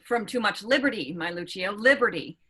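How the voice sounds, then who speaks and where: A woman playing a bitter, resigned young gentleman prisoner in a staged reading of Shakespeare verse. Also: mid-range voice, animated, brisk, slightly rough, poor audio.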